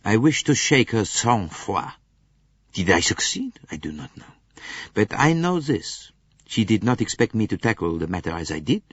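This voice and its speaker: Precise, lively Belgian detective. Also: male voice, lowish voice, husky, no echo, full character voice. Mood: pleased, probing. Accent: Belgian French. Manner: deliberate, emphatic, precise. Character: shrewd, genial, precise Belgian male detective. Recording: muffled lo-fi audiobook recording.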